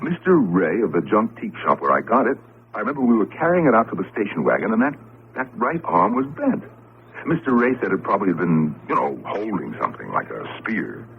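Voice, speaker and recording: A man's voice: fairly low pitch, very animated, a little fast, vocal fry, phone-quality sound. An American man acting in an old-time radio drama as a playful, eager professor and doting husband. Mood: puzzled, uneasy. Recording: band-limited, hissy old radio recording.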